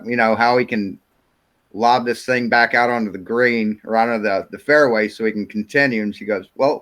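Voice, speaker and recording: A man's voice: mid-range voice, a bit bright. Rambling man telling a joke. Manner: rambling, filler-heavy storytelling.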